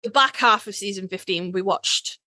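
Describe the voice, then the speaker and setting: A woman's voice: medium pitch, moderate pace, pushed and bright, no room sound. A British woman co-hosting a podcast in casual chat, informal and sweary. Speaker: podcast co-host.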